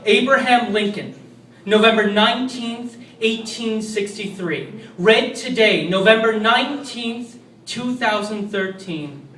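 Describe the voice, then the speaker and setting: A man recites a famous speech in a high, forceful voice. He phrases it slowly and emphatically, like a public declamation. The sound is distant and echoes around the room. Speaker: amateur reader in a group reading. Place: indoors in a bar. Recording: echoey room, far from the mic.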